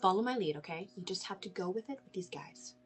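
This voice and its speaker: A woman's voice: medium pitch, lively intonation, fast talker, soft background music, natural voice. A woman reading the part of a brash, foul-mouthed, folksy small-town friend at a film table read. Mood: bossy. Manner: salty, folksy, colloquial.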